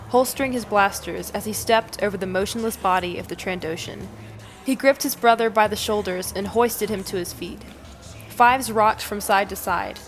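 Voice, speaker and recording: A woman reading scripted, dramatic fiction narration as the storyteller. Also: medium pitch. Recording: music bed under the narration. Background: music.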